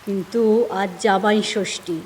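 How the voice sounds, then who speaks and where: A woman playing a nagging, fretful Bengali housewife and mother-in-law in an audio drama, with expressive colloquial scolding. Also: mid-range voice, measured pace, long reverb tail, slight background noise, close to an everyday voice.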